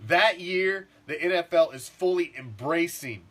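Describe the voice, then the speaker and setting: A middle-aged man, congested and rough-throated from the flu, ranting casually as a sports podcast host, swearing and repeating himself. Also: fairly high pitch, measured pace, a bit bright. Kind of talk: profane casual sports rant.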